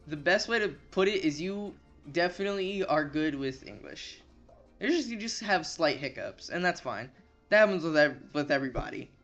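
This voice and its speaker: A man's voice: on the high side, lively intonation, moderate pace, fairly bright tone, highs cut off. Rambling young man streaming, faint music behind. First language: Spanish. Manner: rambling, restarts often, reassuring. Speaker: livestreamer. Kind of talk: casual live-stream chat with viewers.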